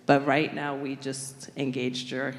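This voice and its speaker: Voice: medium pitch, completely flat delivery, a little slow, long reverb tail. A pastor giving a conversational, reflective sermon in a church. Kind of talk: sermon with co-speaker banter. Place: church sanctuary.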